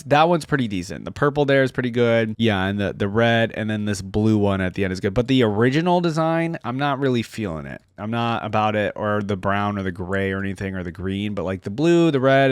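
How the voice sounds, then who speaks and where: A man with a medium-pitched voice hosts an informal reaction video. He talks casually and thinks aloud as he comments. The sound is dry, with very little room in it. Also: dry recording. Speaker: YouTube reaction host.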